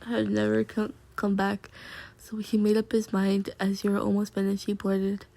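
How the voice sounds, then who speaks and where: A woman's voice: mid-range voice, completely flat delivery, normal speed, vocal fry, dark tone. A young woman reading fan fiction aloud, stumbling over words and dissolving into flustered giggles. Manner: giggly, flustered, breaking off to react. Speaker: fanfic reader.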